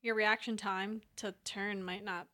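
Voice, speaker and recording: A woman's voice: medium pitch, flat delivery, unhurried, pushed and bright. A woman co-host giving blunt opinions in casual chat, with many likes and restarts. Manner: blunt, filler-heavy opinions. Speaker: podcast co-host.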